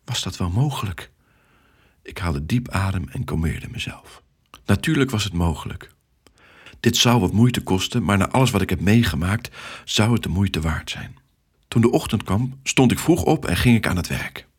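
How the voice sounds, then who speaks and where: A man's voice: sits low, animated, normal speed, husky. A man reading a scripted first-person story for children as its storyteller.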